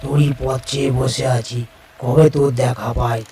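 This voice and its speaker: A man's voice: mid-range voice, fairly even intonation, normal speed, warm tone, roomy sound, distant mic, close to an everyday voice. Cartoon horror father ghost, acted by a male narrator: mournful village man, insistent and beckoning, music behind him. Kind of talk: cartoon ghost story acting.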